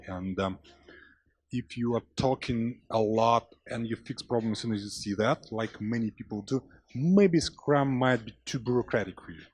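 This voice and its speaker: Husky-voiced man on a panel. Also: mid-range voice, a little mellow. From Russia. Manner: thinking aloud, loose and conversational. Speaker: agile trainer and company head. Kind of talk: conference panel answers. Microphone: handheld microphone.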